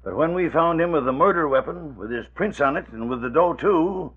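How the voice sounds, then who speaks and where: Husky blunt police sergeant, tinny radio. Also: male voice, a little high, brisk. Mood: matter-of-fact. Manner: colloquial, blunt. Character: plain-spoken, gruff police sergeant, slightly defensive. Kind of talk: 1940s radio acting. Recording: tinny, lo-fi old radio recording.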